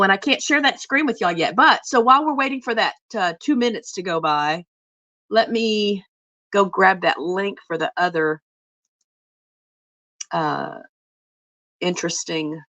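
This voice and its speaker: A woman hosting a cooking livestream from her home kitchen, chatting to viewers in a rambling way while she works, her voice a little lower than usual from a cough. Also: a little slow, long pauses, a bit bright, no room sound, dull audio. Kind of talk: casual livestream chat while baking. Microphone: phone mic.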